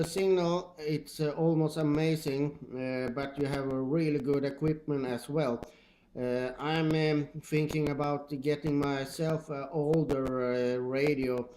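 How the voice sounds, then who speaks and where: A man's voice: medium pitch, a little mellow. A man with a Swedish accent chatting casually as an amateur radio operator over two-way radio, searching for words in English. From Sweden. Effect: two-way radio transmission. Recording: noisy two-way radio with clicks.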